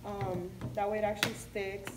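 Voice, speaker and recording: A woman's voice: mid-range voice, little pitch movement, normal speed, noisy background. A young woman chattily explaining a craft step by step in a casual tutorial, slightly muffled by a face mask. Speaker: craft video host. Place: indoor room with decorated backdrop.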